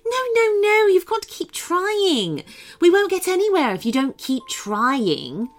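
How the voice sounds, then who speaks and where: Woman playing a storybook beach lady, booming, bossy, very high, lively swoops. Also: unhurried, exaggerated voice. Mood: bossy confident. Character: loud, bossy, cheerful beach-going woman.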